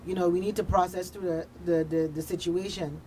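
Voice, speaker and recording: A woman's voice: fairly low pitch, steady tone, fairly quick, warm tone. Earnest woman talking over background noise. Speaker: radio drama producer, studio guest. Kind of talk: earnest conversational discussion. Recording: noticeable background noise, room ambience.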